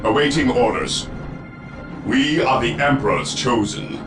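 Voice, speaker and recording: A man's voice: medium pitch, unhurried, close to an everyday voice. A man playing a zealous space marine soldier, giving terse battle responses in a game. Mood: zealous, alert. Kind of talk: video game unit voice acting. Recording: echoey, distant, under loud music.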